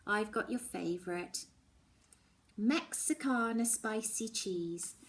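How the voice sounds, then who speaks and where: A woman's voice: medium pitch, slow. A woman voicing a dreamy, loving mother from a storybook, coaxing and emphatic in her delivery.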